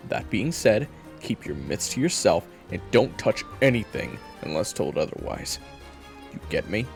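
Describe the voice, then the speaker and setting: A man's voice: fairly low pitch, vocal fry. A man playing a brooding, grumpy but caring hunter in an audio roleplay, speaking in a wry, exasperated, conversational way. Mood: stern, annoyed. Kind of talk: intimate audio roleplay acting and storytelling.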